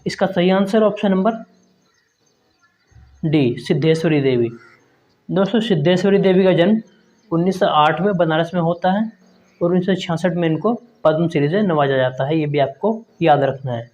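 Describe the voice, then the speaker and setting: A man's voice: fairly high pitch, moderate pace, a little mellow. A man teaching an exam prep lesson, reading quiz questions and answer options aloud and often addressing his viewers as friends. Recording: dull lo-fi sound.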